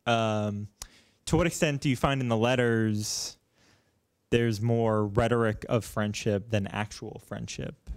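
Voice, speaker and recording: A man's voice: lowish voice, steady tone, a little slow, dry recording, studio quality. A man in an audience asking a question in careful, hesitant, formal phrasing. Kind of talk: spoken question at a public talk. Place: indoor meeting room, in the audience.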